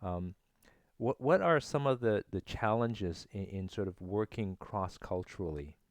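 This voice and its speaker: A man's voice: on the low side, lively intonation, unhurried, no echo, studio quality. A man interviewing guests, who stammers and repeats words as he forms his questions.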